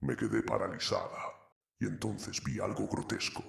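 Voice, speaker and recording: A man's voice: lowish voice, normal speed, creaky. A man narrating horror stories in a dramatic, ominous way.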